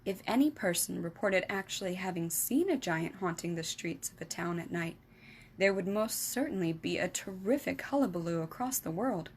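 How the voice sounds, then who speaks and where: A woman's voice: lowish voice, expressive, normal speed, slight background noise. A woman storyteller reading a children's book aloud as narrator.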